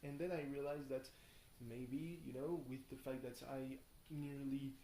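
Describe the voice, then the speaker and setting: A tired young man rambles casually through a video diary, halting and thinking aloud, often questioning himself. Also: mid-range voice, steady tone, warm tone. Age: young adult. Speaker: video blogger. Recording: roomy echo, faint background noise.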